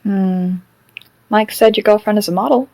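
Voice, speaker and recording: Woman playing a calm, polite living marionette. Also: mid-range voice, moderate pace, gravelly, dry recording, close to an everyday voice. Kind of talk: fan audio drama acting.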